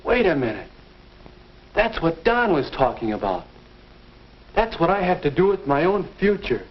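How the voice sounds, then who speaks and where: A man's voice: on the high side, very animated. A young American man acting as an earnest teenage boy thinking aloud in a 1950s educational film. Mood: pleased, realizing. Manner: deliberate, self-questioning, earnest. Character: earnest teenage boy working out his future. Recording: dull vintage 1950s film soundtrack.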